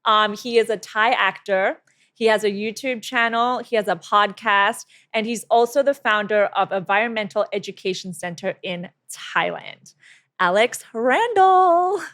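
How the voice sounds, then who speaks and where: A young adult woman hosting a casual interview podcast, chatty and quick with asides and laughs. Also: medium pitch, a bit bright. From mixed heritage, lived in Singapore and the US. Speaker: podcast host.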